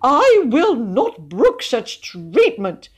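A woman voicing a pompous, nervous gentleman poet in an audiobook, stammering and spluttering with indignation. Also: high-pitched, huge pitch swings, drawn-out words, husky, voice pushed up, exaggerated voice. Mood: outraged. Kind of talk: audiobook character voice.

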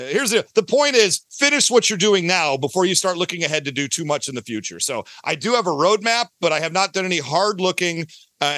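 Man, high bright voice, talkative, self-correcting, casual interview guest, dry close sound. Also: dry recording. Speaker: guest, audio drama creator.

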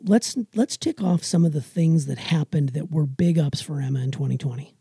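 A woman's voice: low voice, normal speed, dark tone, no echo, studio quality. A middle-aged woman, chatting emphatically and with self-assurance as a podcast co-host. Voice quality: raspy. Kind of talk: casual chat with emphatic opinion.

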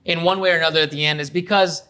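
A man hosting a casual interview, speaking haltingly and repeating words and phrases for emphasis. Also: fairly high pitch, steady tone, sharp tone. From Iran. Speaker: interview host.

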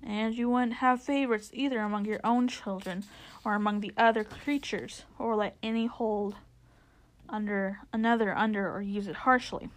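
A woman voicing a wise, regal male lion who speaks in solemn, formal, measured pronouncements for a storybook. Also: medium pitch, fairly even intonation, close to an everyday voice. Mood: solemn, grave.

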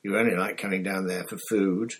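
A man's voice: deep voice, flat delivery, a little fast, fairly bright tone, slightly rough. A man voicing a bossy, righteous teenage schoolgirl who speaks severely. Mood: scoffing. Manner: severe, exasperated.

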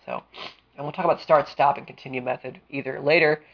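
A man hosting a solo career-advice podcast, smooth-voiced and deliberate, with a cold and a sniffle, explaining with frequent fillers. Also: a little high, fairly even intonation, dull audio. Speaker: podcast host.

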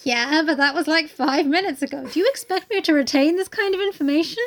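High-voiced woman, teasing chat. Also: fairly quick, fairly bright tone. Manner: chatty, dry teasing, acts out quoted people. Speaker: podcast co-host. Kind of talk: casual chat.

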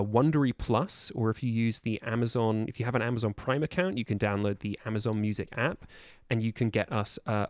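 A man's voice: fairly low pitch, a little mellow, no room sound, clean studio sound. A man chatting casually as a podcast guest, wordy and drily playful in how he talks.

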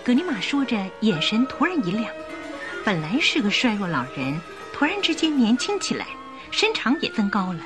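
A woman narrating a scripted radio drama in an expressive, carefully enunciated storytelling style. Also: a little high, normal speed, music in the background.

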